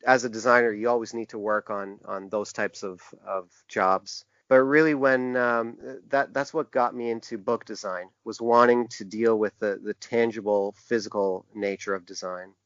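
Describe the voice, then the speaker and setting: A man with a fairly low voice talks as an interview guest. He speaks conversationally and haltingly, circling back to restart phrases. The sound is dry and somewhat dull, with a limited lo-fi band. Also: fairly even intonation, fairly bright tone, no echo. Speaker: podcast guest, book designer.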